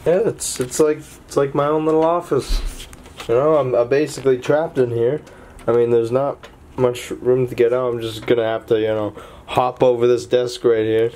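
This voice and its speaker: A man's voice: medium pitch, steady tone, normal speed, warm tone, a little hiss or hum. A young adult man with a slightly lost voice, chatting casually and jokingly to camera in a small room as he vlogs. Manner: joking, rambling. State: slightly lost voice after illness. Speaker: vlogger, college student. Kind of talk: casual vlog chat to camera. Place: small dorm room, indoors. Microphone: handheld camera at arm's length.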